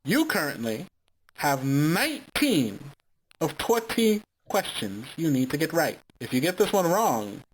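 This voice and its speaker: A man voicing a smarmy male game-show host inside an animated screen, with a mocking, theatrical delivery. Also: on the high side, a little slow, a little hiss or hum, low-quality recording. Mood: game-show taunting.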